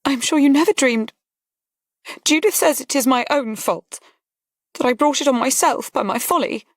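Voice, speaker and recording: A woman's voice: high-pitched, moderate pace, no room sound, clean studio sound, voice pushed up. A woman reading an audiobook, voicing a fragile young noblewoman who talks in a breathless, tremulous, pleading way. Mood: distressed, tearful. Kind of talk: audiobook character voice.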